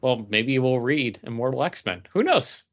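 A man's voice: medium pitch, animated, measured pace, dull audio. A man talking casually about comics as a podcast co-host.

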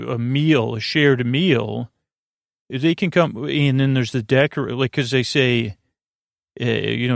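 An American man hosting a sleep podcast in a rambling monologue, halting and often interrupting himself as he meanders along. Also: mid-range voice, gravelly, no echo, studio quality. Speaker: podcast host.

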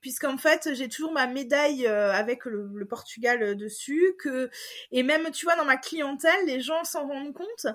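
High-voiced hesitant French woman guest. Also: moderate pace. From France. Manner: hesitant, many fillers and restarts. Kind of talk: casual personal testimony.

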